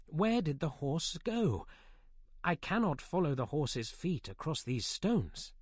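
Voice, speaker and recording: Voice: medium pitch, very animated, slow, a little mellow, husky, dry recording, clean studio sound, voice pushed up, exaggerated voice. A narrator doing an earnest young king. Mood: puzzled. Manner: earnest, pleading questions. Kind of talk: audiobook character voice.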